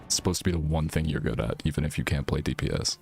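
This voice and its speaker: Deep-voiced male streamer, soft and slow, playful trash talk over game music. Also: gravelly, husky. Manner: low, slow, soft-spoken ASMR delivery with blunt swearing; teasing, trash-talking teammates. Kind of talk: casual gameplay commentary. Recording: game music playing behind voice. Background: game music and sound effects.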